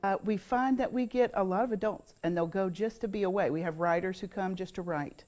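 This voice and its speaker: A woman's voice: medium pitch, brisk, darkish tone. A middle-aged American woman speaking casually in an interview as the owner of a small business.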